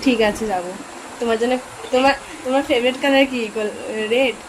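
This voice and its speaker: A woman's voice: fairly high pitch, fairly even intonation, a little fast. A young woman chatting casually as a vlogger making a prank phone call. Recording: distant mic, clear vehicle-like background noise.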